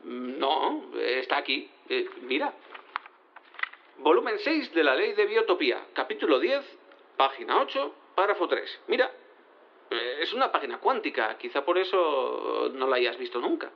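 An actor playing an awkward but crafty defence lawyer in a comic audio drama, hesitant and stumbling. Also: male voice, a little high, sing-song, measured pace, creaky, pauses often, lo-fi sound, slight background noise, heavily acted. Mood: awkwardly crafty.

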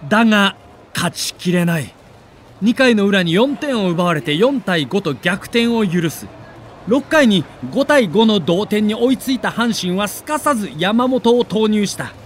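A man narrating a scripted documentary in a dramatic, measured storytelling manner. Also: sits high, fairly bright tone, slight background noise.

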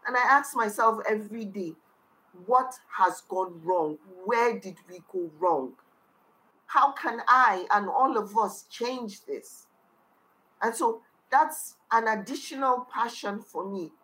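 A woman's voice: medium pitch, animated, takes it slowly, sharp tone, rough recording. A woman with a Nigerian accent, the founder of a charity, answering interview questions in a heartfelt way and often repeating phrases.

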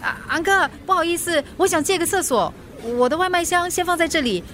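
A woman playing a feisty, stubborn, middle-aged mother in a radio drama, with a Singaporean accent and a brisk, defensive, colloquial way of talking. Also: extremely high pitch, a bit bright, a little hiss or hum. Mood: insistent. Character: feisty forgetful mother, stubborn, brushing off worry.

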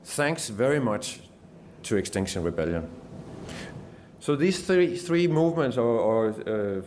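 A man's voice: medium pitch, big pitch swings, measured pace, noisy background. Earnest Danish-accented man giving a talk. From Denmark. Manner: earnest, hesitant restarts and repeats. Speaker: journalist giving a summit talk. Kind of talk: conference speech.

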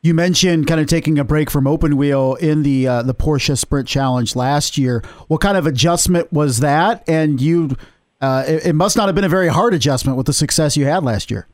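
A male podcast host with a somewhat high voice asks rambling questions and restarts them as he goes. The sound is dry and close, with no room echo. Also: normal speed. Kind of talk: casual interview chat.

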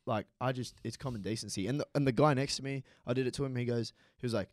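A young man, co-hosting a podcast and telling crude, animated stories full of swearing. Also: medium pitch, quick pace, no room sound, studio quality. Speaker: podcast co-host. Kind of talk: casual chat.

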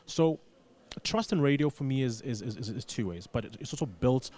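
A man's voice: mid-range voice, lively intonation, dark tone. A man giving conversational interview answers as a studio guest, often repeating words as he builds his point. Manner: repeats words, halting emphasis. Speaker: radio business manager, studio guest.

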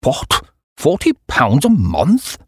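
A man's voice: medium pitch, wildly swooping pitch, takes it slowly, husky, dry recording, studio quality, heavily acted. A man voicing a stingy old English duke, pompous and aggrieved, with a British accent. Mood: aggrieved, astonished. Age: older.

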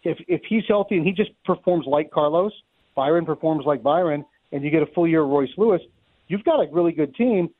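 Middle aged guy sports announcer calling into radio, highish voice, phoney thin line, chatty and wry. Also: brisk. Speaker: baseball play-by-play announcer, radio guest. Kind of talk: unscripted sports analysis in a live radio interview. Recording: roomy, thin narrow-band phone line.

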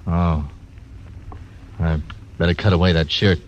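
An actor voicing a disgraced frontier doctor turned gambler, terse and sardonic, in 1940s radio acting style. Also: male voice, low-pitched, fairly even intonation, unhurried, slight background noise. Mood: terse, bitter. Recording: dull old radio recording.

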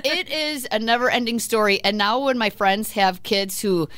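Raspy-voiced mom with a cold. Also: female voice, a little high, normal speed, fairly bright tone, dry recording. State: low and raspy from a cold and cough. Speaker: podcast co-host. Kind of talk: casual chat.

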